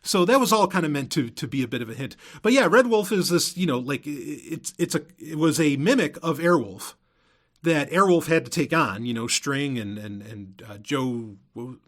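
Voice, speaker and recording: A man with a fairly high-pitched voice hosts a podcast as an audio drama maker. He speaks in a casual, rambling monologue with halting starts. He often repeats words to drive his point home. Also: animated, fairly bright tone.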